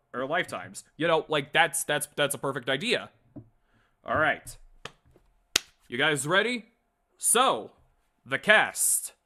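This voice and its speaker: Animated, bright-voiced man rambling casually. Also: on the high side. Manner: rambling, blunt, swearing. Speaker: livestream host. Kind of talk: casual opinionated fan commentary to a live chat.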